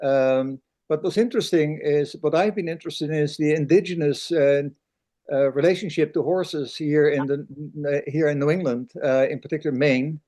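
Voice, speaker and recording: Older guy, kinda high voice, lots of uh and um, rambles, video call. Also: moderate pace, dark tone. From Netherlands. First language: Dutch. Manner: rambling, many fillers. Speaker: audience member asking a question.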